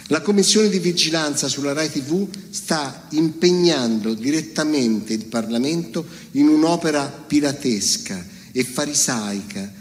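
A man's voice: medium pitch, big-room sound. A man reading out a political speech in a solemn, indignant, declamatory way. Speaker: reader.

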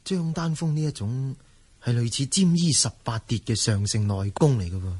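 A husky, mid-pitched voice for a wandering gentleman swordsman in a martial arts radio play. He is courteous and inquisitive, with theatrical phrasing. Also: animated, normal speed. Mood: curious. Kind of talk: Cantonese radio drama acting.

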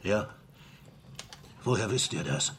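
An actor playing a bitter, broken male villain in a Western radio drama, gravelly and halting. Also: lowish voice, lively intonation, a bit bright, slight background noise. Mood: bitter, mocking. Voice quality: gravelly, breathy at times. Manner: halting, sinister. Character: bitter dying villain, mocking, secretly remorseful father.

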